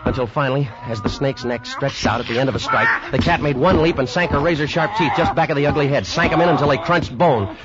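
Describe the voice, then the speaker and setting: A man's voice: mid-range voice, natural voice. An American actor playing a shaken man who tells of mortal danger at sea, with dramatic intensity, in an old radio play. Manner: dramatic, intense first-person storytelling. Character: tense, shaken man recounting a deadly terror aboard ship. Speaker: radio drama actor narrating in first person. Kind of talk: 1940s-50s radio suspense acting. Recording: dull vintage radio recording, loud orchestral music.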